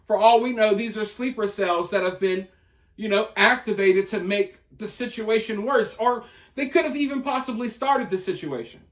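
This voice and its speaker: An American man with a notably high voice talks straight to his viewers as an online commentator. His delivery is conversational yet emphatic, leaning on rhetorical turns as he argues his opinion.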